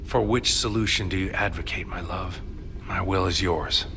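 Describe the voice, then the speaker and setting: An actor playing a tormented psychic soldier in an audio drama, grave and brooding, with formal, poetic phrasing. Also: male voice, deep voice, steady tone, normal speed, noisy background. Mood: sorrowful, resigned. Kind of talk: audio drama acting with narrated inner monologue. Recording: studio voice over music.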